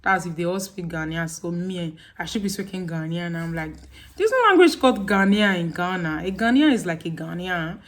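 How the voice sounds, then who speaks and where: Animated Nigerian woman vlogging. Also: lowish voice, a little fast. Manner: animated, acts out friends' lines with laughing. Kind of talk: casual chat to camera.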